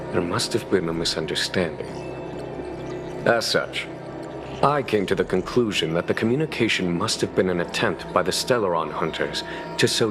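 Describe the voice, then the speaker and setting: An actor playing a calm, shrewd general in a video game, speaking in a measured, formal and diplomatic way. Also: male voice, sits low. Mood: composed, authoritative. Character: calm, courteous, shrewd male general commanding a starship's knights. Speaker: military leader. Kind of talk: scripted video game dialogue.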